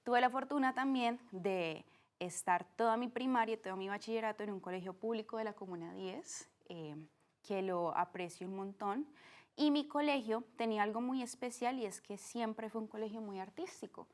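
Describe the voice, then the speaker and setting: A woman in her twenties with a Colombian accent from Cali, speaking as a podcast guest in a warm, earnest way with frequent "eh" fillers. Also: a little high, moderate pace. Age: 20s. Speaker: guest, art director. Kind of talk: casual interview.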